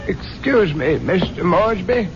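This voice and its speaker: An actress playing a formal, apologetic and politely anxious household servant in a 1970s radio drama. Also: female voice, fairly low pitch, expressive, unhurried, over music. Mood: polite, worried. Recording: dull, faintly noisy old radio broadcast.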